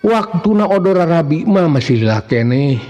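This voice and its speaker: A man's voice: a little high, lively intonation, moderate pace, darkish tone. Sundanese man telling folktales in long reverb. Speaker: storyteller. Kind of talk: narrated folk tale. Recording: long reverb, dull muffled sound. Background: faint music.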